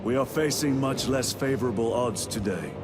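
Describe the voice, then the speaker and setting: An actor playing a steady veteran soldier in a video game, speaking formally and tersely with command. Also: male voice, moderate pace, natural voice. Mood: resolute. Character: steady veteran space marine lieutenant. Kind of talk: video game cutscene acting. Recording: loud music and vehicle rumble.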